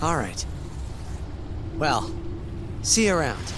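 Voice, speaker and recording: An actor playing an eager, youthful fantasy hero in a video game cutscene, casual and excitable. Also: male voice, a little high, slow pace, background noise.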